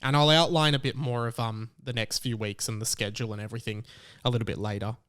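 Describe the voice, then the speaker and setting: A man's voice: medium pitch, fairly even intonation, fast, fairly bright tone, clean tone. A young Australian man hosting a podcast, talking in a casual, chatty and emphatic way on his own. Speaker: podcast host. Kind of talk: casual solo podcast monologue.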